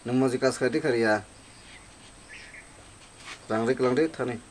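A man's voice: mid-range voice, measured pace, a little hiss or hum, lightly acted. A young man with a Kokborok accent acting in an amateur short film, playing a villager who wants his motorbike fixed. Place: outdoor roadside shop shelter.

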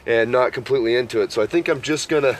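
A man in his thirties vlogging at an outdoor campsite, talking in a slow, worn-out, easygoing way after being sick. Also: mid-range voice, a little hiss or hum. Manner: laid-back, tired, unhurried with many fillers. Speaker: camping vlogger. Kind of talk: casual camp vlog talk to camera. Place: outdoor pine forest campsite.

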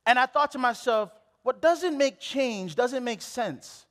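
Young man from Hawaii, very high voice, animated preacher, restarts a lot, headset mic, big hall reverb. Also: a little slow. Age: late 20s. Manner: animated, exclamatory, with many restarts. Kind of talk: sermon. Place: church stage.

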